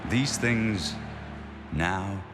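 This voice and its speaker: An actor playing a noble, resigned emperor in a fantasy game, giving a grave and measured farewell narration. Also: male voice, fairly low pitch. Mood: solemn, resolute. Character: noble emperor, solemn, resigned, speaking a farewell after sacrifice. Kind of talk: slow dramatic game narration. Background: orchestral music.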